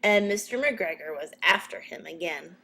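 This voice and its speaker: A woman reading a children's story as a narrator, in a measured but expressive storytelling manner. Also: lowish voice. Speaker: storybook reader.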